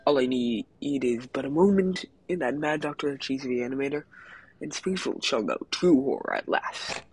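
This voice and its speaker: A man's voice: medium pitch, normal speed, a little mellow, breathy edge, no room sound, soft background music, lightly acted, rough recording. A young man reading a cartoon game horror writer's lines aloud in his own voice. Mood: grandiose. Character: desperate male horror writer, grandiose schemer.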